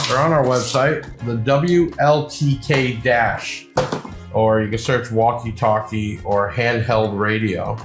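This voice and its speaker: Casual man reviewing gear in an echoey room. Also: mid-range voice. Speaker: video host and shop seller presenting products. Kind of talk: casual unboxing review, talking to camera. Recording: echoey room with music behind.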